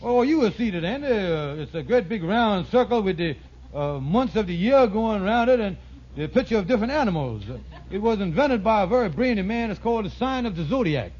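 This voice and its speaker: Scheming old-time radio sitcom hustler, high voice, wheedling sales talk, hissy old recording. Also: male voice, big pitch swings, brisk, a little mellow. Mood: smooth patter. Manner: wheedling sales patter, grandiose malapropisms. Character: scheming, fast-talking middle-aged male lodge schemer and hustler. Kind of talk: 1940s-50s radio sitcom acting. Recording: noisy, muffled vintage radio recording.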